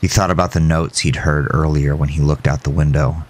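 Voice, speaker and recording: A man's voice: very deep voice, fairly even intonation, a little fast. A man reading scripted horror fiction as the narrator.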